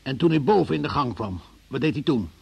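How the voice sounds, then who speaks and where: An actor in a radio drama playing a stern, sardonic, relentless police interrogator who questions formally and presses hard. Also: male voice, mid-range voice, expressive, fairly quick, gravelly, slightly rough, full character voice. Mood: incredulous, probing.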